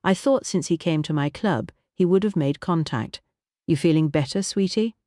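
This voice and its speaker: A narrator voicing a tall, glamorous American woman entertainer with a soft, smooth American accent, affectionate and motherly. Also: fairly low pitch, moderate pace, dry recording, studio quality, lightly acted. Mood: confiding. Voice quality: smooth, honeyed drawl. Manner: affectionate, calls everyone honey.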